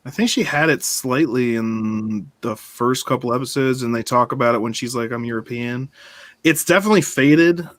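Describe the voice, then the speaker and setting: A man hosts a relaxed online fan chat, rambling and loose with plenty of fillers. His voice sits at an ordinary middle pitch, recorded dry with little room sound. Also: normal speed, no room sound. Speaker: podcast host. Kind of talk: casual fan discussion.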